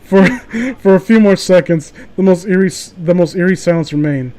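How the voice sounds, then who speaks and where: A man's voice: high-pitched, fairly even intonation, a little fast, darkish tone. A man hosting a casual show, reading amateur horror stories aloud and breaking off into rambling, opinionated, profane commentary.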